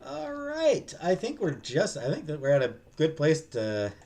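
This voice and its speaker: A man's voice: on the high side, wildly swooping pitch, a little slow, a little mellow. A man streaming a visual novel, reading the narration aloud in a dramatic storytelling style. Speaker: game streamer.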